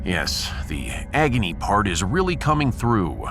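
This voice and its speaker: A man's voice: lowish voice, sing-song, unhurried, music in the background. A man playing a sarcastic, impatient male starship captain in a sci-fi audiodrama. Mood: sarcastic, weary. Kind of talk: sci-fi audiodrama character acting.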